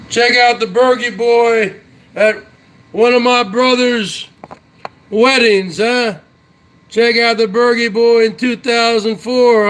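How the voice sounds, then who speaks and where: A man's voice: very high-pitched, bright, forceful tone, rough recording. A man in his forties hosting his own online show, chatting casually to viewers in a brash, boastful way and tagging his lines with "huh?". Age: 40s. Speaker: YouTube show host. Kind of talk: casual solo chat to viewers.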